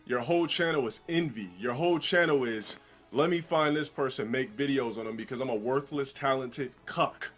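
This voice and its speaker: A man with a deep voice ranting insults at a critic in a played-back clip. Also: moderate pace, a bit bright. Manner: insulting, boastful rant. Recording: played clip with faint music.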